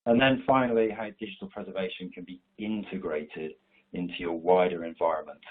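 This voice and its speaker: Brief: deep-voiced man presenting slides, husky and congested from a cold, steady and explanatory, heard over a tinny call line. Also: a little fast. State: stuffy from a cold. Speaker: webinar presenter. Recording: tinny online call audio.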